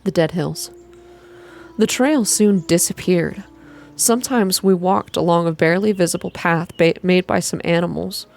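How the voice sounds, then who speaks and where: A woman reading a story aloud in a soft-spoken, measured, atmospheric ASMR style. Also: on the low side, creaky. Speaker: reader of a video game story.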